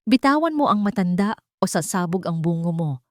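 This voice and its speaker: A woman's voice: lowish voice, expressive, moderate pace, a little mellow, no echo, clean studio sound, close to an everyday voice. A woman voicing a steely, loyal female companion in an audio story, intent and cool-headed. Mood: cold threat.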